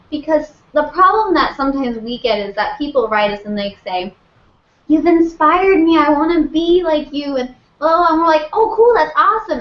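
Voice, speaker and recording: A young woman guest at a convention panel, chatting casually, animated and jokey while giving earnest advice. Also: high-pitched. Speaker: guest, video parody creator. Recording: dull, roomy audio with faint hum.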